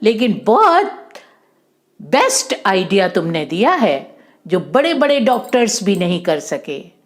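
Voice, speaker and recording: Woman as an earnest grown man, slow and expressive. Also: mid-range voice. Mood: delighted, grateful. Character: worried, trusting rich man seeking help.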